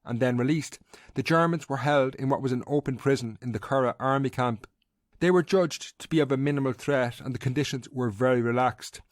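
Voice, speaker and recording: Male Irish narrator for history podcast; medium pitch, measured scripted read, very dry sound. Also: no room sound.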